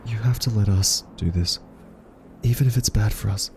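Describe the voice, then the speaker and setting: A man playing an anxious, soft-spoken scientist who reasons haltingly and earnestly with a listener in an audio roleplay. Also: lowish voice, a little hiss or hum, natural voice. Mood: careful, pleading.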